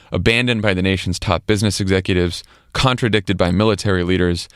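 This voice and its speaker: A man's voice: sits low, a bit bright, smooth, no echo, clean studio sound. An American man co-hosting a podcast, giving casual political commentary in an emphatic, conversational way. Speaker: podcast co-host.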